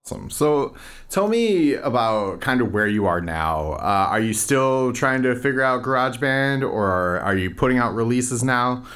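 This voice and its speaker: A man's voice: mid-range voice, animated, moderate pace, fairly bright tone, clear, resonant tone. A male podcast host asking open, easygoing interview questions.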